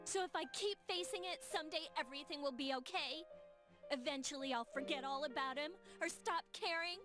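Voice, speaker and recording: A woman's voice: high voice, a little fast, lightly acted. A woman voicing a grieving, stubborn teenage girl in a video game, impassioned and pleading. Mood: bitter. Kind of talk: dramatic video game voice acting. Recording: game audio with loud music. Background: music.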